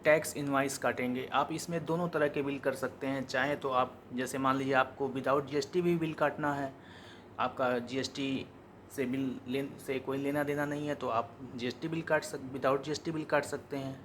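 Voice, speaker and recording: Indian man, mid-pitched voice, informal tutorial delivery, explanatory, faint background noise. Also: fairly even intonation, moderate pace, fairly bright tone. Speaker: software demonstrator. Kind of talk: informal step-by-step software tutorial.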